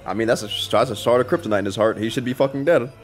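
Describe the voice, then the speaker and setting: A man's voice: mid-range voice, racing, soft background music. A man giving casual, slangy, profane and incredulous reaction commentary on a video game. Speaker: gaming commentator.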